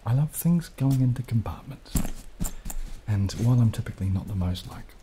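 A young man speaking softly and unhurriedly in casual ASMR style, partly whispering, with some hesitation. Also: medium pitch, animated. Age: young adult. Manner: soft-spoken, hesitant, unhurried. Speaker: ASMR video creator. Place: small dim indoor room. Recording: clearly audible background noise, added rain.

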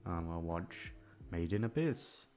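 A man's voice: deep voice, lively intonation, a little slow. A man chatting casually to his listeners in a hesitant, rambling, self-deprecating way, swearing freely, a little back from the microphone. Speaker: ASMR voice actor and channel host. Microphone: microphone, sitting a little back from it. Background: soft guitar music.

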